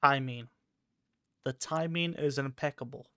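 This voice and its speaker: A woman's voice: very deep voice, measured pace, a bit bright, no echo. A woman giving casual, sardonic commentary as she plays a game, with dry asides and swearing. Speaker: gamer reacting while playing.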